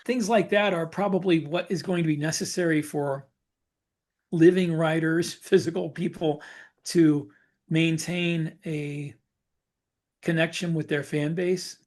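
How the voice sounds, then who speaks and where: A man chatting casually as a podcast co-host, thinking aloud in halting phrases that he often repeats. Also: fairly high pitch, steady tone, a little mellow. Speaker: podcast co-host and fiction author.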